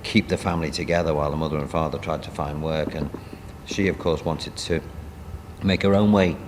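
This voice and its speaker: A man speaking as a playwright and producer in an interview, reminiscing in a halting, conversational way. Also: very deep voice, fairly even intonation, long reverb tail, background noise.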